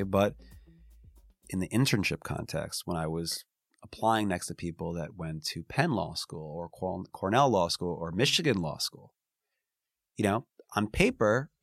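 A man's voice: sits low, no room sound, clean studio sound. An American man, a lawyer, talking earnestly in an interview about his career, often restarting his words and adding asides. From United States. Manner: frequent restarts and "you know" asides, earnest. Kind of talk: reflective casual interview talk.

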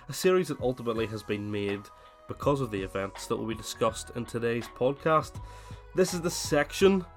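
A man with a cold hosting his gaming podcast, chatting casually and then reading a scripted essay in steady narration. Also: mid-range voice. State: has a cold, voice slightly worn. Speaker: podcast host.

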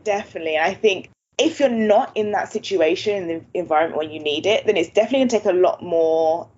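A woman's voice: lowish voice, fast talker, a little mellow, husky. A native English-speaking woman hosting a language-learning video, talking casually and laughing often. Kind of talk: casual chat. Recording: dull lo-fi sound.

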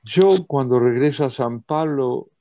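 Rioplatense man, unhurried and thoughtful, warm low tone, dry slightly muffled audio. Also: no room sound. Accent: Rioplatense Spanish. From Argentina. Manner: measured, thoughtful, with slow hesitations. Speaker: poet and translator, interview guest. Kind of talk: reflective interview answers.

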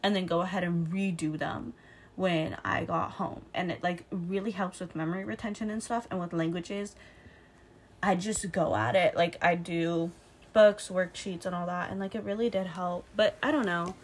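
A woman's voice: on the low side, steady tone, normal speed. A young woman chatting casually as a co-host in an informal, slangy way. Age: young adult. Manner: informal, slangy, mild swearing. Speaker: podcast co-host. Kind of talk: casual chat.

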